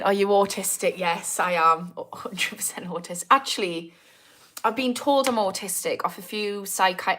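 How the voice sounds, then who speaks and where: A woman's voice: on the low side, a bit bright. Chatty Geordie woman on a livestream. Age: 30s. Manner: chatty, self-mocking, laughs often. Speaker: livestream host answering viewer comments. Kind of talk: casual live chat.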